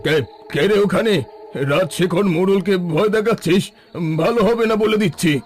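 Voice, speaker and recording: A man voicing a scheming village headman in a cartoon, talking in a wheedling, flattering way. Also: high-pitched, moderate pace, faint music behind. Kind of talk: Bengali cartoon dubbing.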